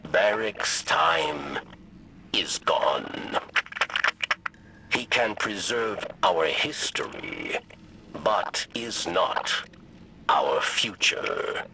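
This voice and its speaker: A weary old alien exile, speaks in a low, creaking rasp. The delivery is very slow and halting, mournful and reflective, like a solemn game monologue with music underneath. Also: male voice, lively intonation, noisy background, exaggerated voice. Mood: resigned, solemn. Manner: halting third-person speech in broken phrases. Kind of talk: scripted dramatic monologue for a video game.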